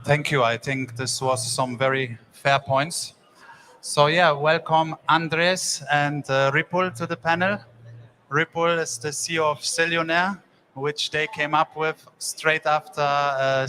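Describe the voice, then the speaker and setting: A man's voice: fairly high pitch, sharp tone, poor audio. A man moderating a conference panel, introducing guests and asking questions in loose, rambling, informal phrasing. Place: conference stage.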